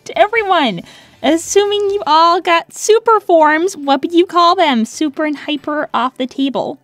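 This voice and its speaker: A woman's voice: extremely high pitch, normal speed, no echo. A woman voicing a young female cartoon hedgehog, peppy and touchy, with a sarcastic, girlish manner. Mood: wry, peppy. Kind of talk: cartoon character answering fan questions.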